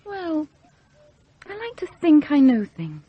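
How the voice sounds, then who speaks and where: A woman's voice: high-pitched, wildly swooping pitch, slow, muffled recording, heavily acted. An actress playing a poised but insecure, romantic young upper-class Englishwoman in a radio play, hesitant and earnest. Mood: hesitant, wistful. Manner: hesitant, self-conscious, earnest.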